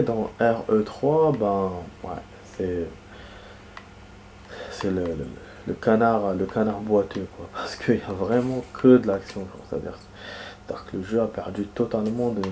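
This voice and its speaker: A man's voice: lowish voice, dark tone, slight background noise. Nasal-sounding man with a cold chatting while gaming. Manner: rambling, many fillers and restarts. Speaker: gaming video host. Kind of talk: casual gameplay commentary.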